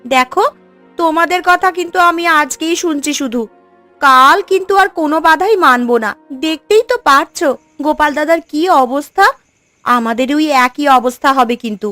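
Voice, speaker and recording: Woman doing a stubborn young girl, high voice, argues hard, cartoon. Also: moderate pace, pushed and bright, no echo, soft background music. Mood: insistent. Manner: insistent, argumentative, determined.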